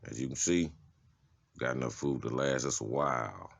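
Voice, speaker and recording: Tough male convict for a comic drama, played by a male actor: extremely low voice, slangy, foul-mouthed, laid-back phrasing. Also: moderate pace, vocal fry, exaggerated voice. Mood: wry, matter-of-fact. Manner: casual, slangy, profane. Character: tough, streetwise adult male prison inmate giving a tour. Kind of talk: comic-book dramatization, character acting.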